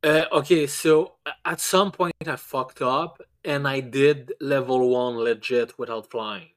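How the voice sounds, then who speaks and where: A man's voice: medium pitch, steady tone, unhurried. Stammering man chatting casually about games. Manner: stammering, repeats words often; casually profane. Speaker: podcast co-host. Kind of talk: casual video game chat.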